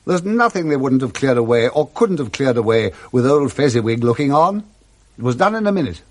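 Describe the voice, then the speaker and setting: A man's voice: mid-range voice, very animated, a little fast. A man with an English accent narrating expressively and theatrically in a scripted radio drama.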